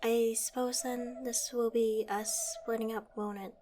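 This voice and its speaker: A woman's voice: a little high, level tone, unhurried, dark tone, faint music behind, lightly acted. A woman playing a suave, witty secret agent in an audio roleplay, wry and polite, talking as if to a trusted partner. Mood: friendly, persuasive. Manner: wry, polite, teasing tag questions. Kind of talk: ASMR audio roleplay, scripted.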